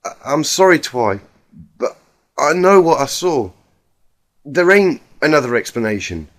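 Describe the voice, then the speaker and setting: Distraught country pony girl, played by a man, stammering and pleading, lively pitch, dramatic story. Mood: tearful, insistent. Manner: halting, stammering, folksy.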